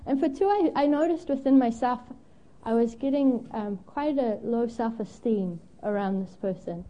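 A woman's voice: a little high, normal speed, mellow, muffled recording. A young woman from Zimbabwe preaching a candid, storytelling sermon at a church, with frequent ums and self-deprecating asides. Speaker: guest preacher.

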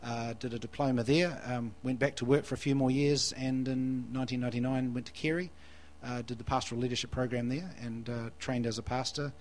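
A man's voice: mid-range voice, steady tone, fairly quick, hardly any pauses, darkish tone. A New Zealand man in his forties, a guest preacher in church, who talks in a hesitant, self-deprecating way and often restarts his phrases. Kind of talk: church interview and sermon.

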